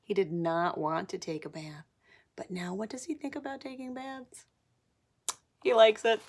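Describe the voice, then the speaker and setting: Brief: female teacher, mid-range voice, animated read-aloud to young children with explanatory asides and questions. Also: mid-range voice, moderate pace.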